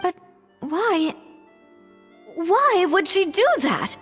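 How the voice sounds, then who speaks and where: A woman's voice: extremely high pitch, animated, extremely slow, a bit bright, heavily acted. A woman playing an earnest, loyal young female companion in a game, questioning and indignant. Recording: long reverb, music behind.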